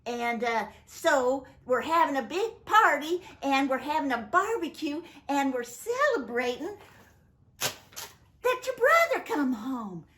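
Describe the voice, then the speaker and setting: A woman voicing a humble servant who explains in a hesitant, halting way. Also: high voice, big pitch swings, fairly bright tone, a little hiss or hum, heavily acted.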